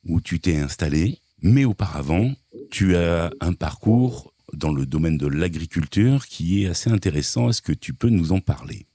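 Male radio interviewer, low husky voice, close dry recording with music in places. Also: lively intonation, moderate pace, mellow, no echo.

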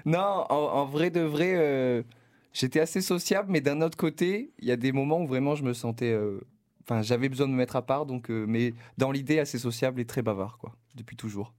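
A man's voice: medium pitch, brisk, a little mellow. A man, a stand-up comedian chatting as a guest with frequent laughs and hesitations. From southeastern France, Var. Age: 20s. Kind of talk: casual interview chat.

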